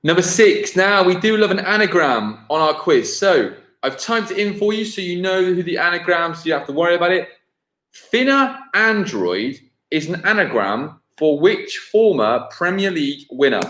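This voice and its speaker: A man's voice: sits high, sing-song, fairly bright tone. A man hosting a live-streamed football quiz, repeating questions and chatting casually with viewers. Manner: repeats each question slowly and clearly, chats to viewers. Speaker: quiz host. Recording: roomy, echoing home livestream sound.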